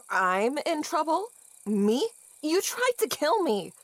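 Sarcastic, defiant daughter, very animated. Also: female voice, sits high, slow pace, no echo, exaggerated voice, rough recording. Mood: defiant anger. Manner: sarcastic, incredulous. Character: defiant, foul-mouthed young daughter of demon-dealing parents. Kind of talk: horror-comedy audio drama narration and dialogue. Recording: prominent music underneath.

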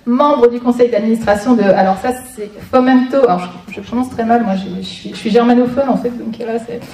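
A woman's voice: fairly high pitch, fairly quick, dark tone. A woman giving an informal public lecture as a guest speaker, with wry, sarcastic asides. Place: conference room before an audience. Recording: echoey room, distant mic, noisy.

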